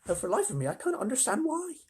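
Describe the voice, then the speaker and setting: A man voicing a kind, motherly ladybird in a high, gentle, slow voice. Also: huge pitch swings, husky, full character voice. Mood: amused. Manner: gentle, soothing, drawn-out.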